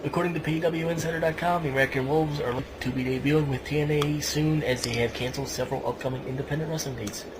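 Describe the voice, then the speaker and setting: A man hosting casually, reading news and explaining it in a halting way. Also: fairly high pitch, steady tone. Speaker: podcast host. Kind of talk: casual wrestling news commentary. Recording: noisy home podcast recording.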